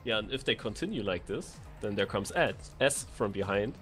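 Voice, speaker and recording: A man's voice: fairly low pitch, lively intonation, normal speed, a bit bright. A man giving conversational live commentary as a co-caster on an esports racing game. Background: music.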